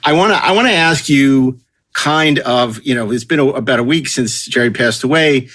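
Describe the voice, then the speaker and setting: A man's voice: mid-range voice, normal speed, fairly bright tone. A man hosting a podcast in a conversational style, effusive and wordy as he talks. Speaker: podcast host.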